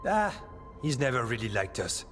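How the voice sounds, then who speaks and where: Fantasy game scholar-warden: male, earnest and hesitant, very lively pitch, music behind. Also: normal speed. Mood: earnest, uneasy. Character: earnest, uneasy male warden scholar, sensitive to dark forces. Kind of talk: dramatic fantasy game acting.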